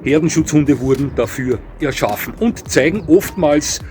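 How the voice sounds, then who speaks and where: A man's voice: fairly high pitch, lively intonation, normal speed, a little mellow, a little hiss or hum. A man giving spoken commentary, frank and matter-of-fact, with many fillers and self-corrections. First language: not Portuguese. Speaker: dog breed commentator. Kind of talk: spoken commentary on a breed ranking.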